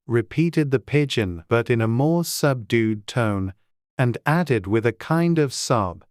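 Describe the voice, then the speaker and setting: An audiobook narrator reading a classic children's story in a measured, gentle way. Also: mid-range voice, lively intonation, dry recording, studio quality.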